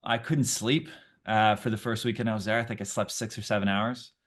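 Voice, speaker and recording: A man's voice: on the low side, flat delivery, brisk, fairly bright tone. A man whose first language is Portuguese, an actor answering interview questions in a friendly, chatty way, thinking aloud as he goes. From Brazil.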